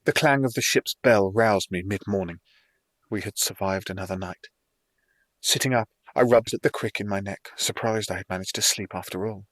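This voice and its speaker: A man's voice: fairly low pitch, normal speed, fairly bright tone, no room sound. A man reading a scripted first-person fantasy audiobook narration.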